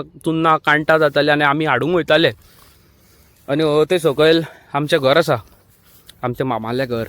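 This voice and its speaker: A man's voice: fairly high pitch, a bit bright. A man from Goa with a Goan accent, vlogging casually to the camera. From Goa, India. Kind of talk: casual vlog chat to camera.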